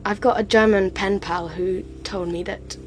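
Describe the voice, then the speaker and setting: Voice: mid-range voice, steady tone, normal speed, soft background music, low-quality recording. A young person chatting casually in an interview, earnest, modest and a little hesitant.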